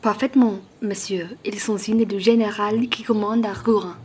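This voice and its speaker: A woman's voice: mid-range voice, steady tone, normal speed, darkish tone, breathy edge, close to an everyday voice. A woman playing a courteous, conciliatory aristocratic count in a radio play, formal and polished in manner. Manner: formal, polite. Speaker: student actor. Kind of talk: radio play acting in French.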